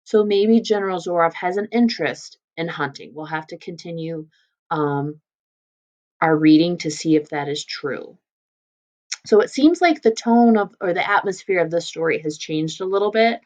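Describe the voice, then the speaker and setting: A woman's voice: on the low side, normal speed, darkish tone. A woman teacher reading a story aloud and explaining it in an informal way. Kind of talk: read-aloud lesson with casual explanatory commentary.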